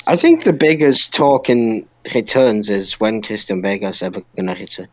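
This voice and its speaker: A man's voice: on the low side, animated, normal speed, no echo, rough recording. A man co-hosting a podcast, talking casually and informally about wrestling with other hosts. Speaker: podcast co-host.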